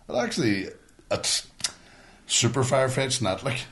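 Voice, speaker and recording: A man's voice: medium pitch, big pitch swings, a little slow, fairly bright tone. A middle-aged man from Northern Ireland with a Northern Irish accent, telling stories in casual, sweary chat as a podcast host. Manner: blunt, sweary.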